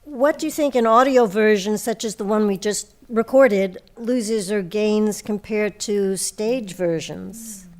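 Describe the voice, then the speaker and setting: A woman's voice: mid-range voice, slow pace, no room sound. A woman hosting a radio program, speaking as a presenter. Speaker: radio show host. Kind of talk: interview questions and scripted credits narration.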